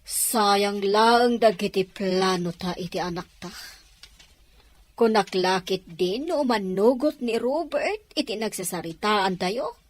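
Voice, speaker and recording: A woman's voice: mid-range voice, expressive, slow pace, no echo. An actress playing a chatty, outspoken woman in a family audio drama. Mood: disappointed. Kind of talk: Ilocano audio drama acting.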